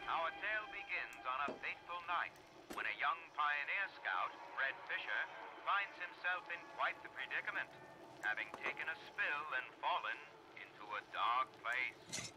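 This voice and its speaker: A man playing a suave, spooky host of an old-time thriller radio show, speaking in a dramatic, deliberate way. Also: fairly high pitch, lively intonation, over music, natural voice, low-quality recording. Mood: ominous. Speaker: announcer.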